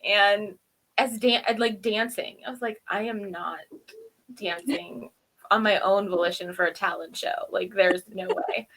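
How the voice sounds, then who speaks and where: A woman's voice: medium pitch, steady tone, a little slow, fairly bright tone, poor audio. A woman co-hosting a review show in casual chat, telling stories in an informal, self-deprecating way. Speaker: podcast co-host reviewing TV episodes.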